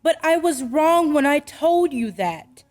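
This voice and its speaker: A woman playing a gentle, sincere mother in an old-time radio drama, speaking earnestly and with measured care. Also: high voice, animated. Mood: earnest, reassuring.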